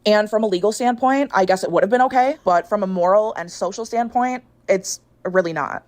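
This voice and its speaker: A woman in her late twenties telling a personal story in a casual, measured, explanatory way. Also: medium pitch, a little mellow. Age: late 20s. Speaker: video storyteller.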